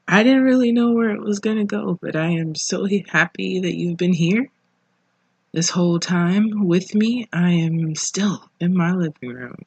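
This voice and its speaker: A podcast host speaking casually alone, in an informal, reflective way with pauses between phrases. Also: mid-range voice, breathy edge, no room sound, dull audio. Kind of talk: casual solo podcast monologue. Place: living room at home.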